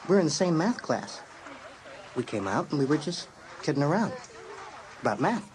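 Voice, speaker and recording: A man's voice: a little high, very animated, normal speed, a crowd in the background, poor audio. A hesitant, nervous American teen boy. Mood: nervous, awkward. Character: nervous, awkward American high school boy. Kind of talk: dramatised audiobook acting.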